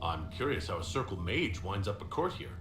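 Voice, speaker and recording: A man playing a polite, inquisitive hero in a fantasy video game, asking questions in a calm, courteous way. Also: deep voice, fairly quick, over music. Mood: curious, polite. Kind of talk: scripted video game dialogue. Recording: roomy echo, clear background noise.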